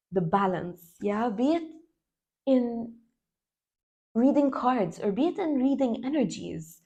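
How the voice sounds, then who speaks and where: A woman in her thirties from Lebanon, raised in the UAE, talking to camera as a self-love coach in an earnest, slow and emphatic way. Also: medium pitch, warm tone. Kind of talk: spiritual vlog talk to camera.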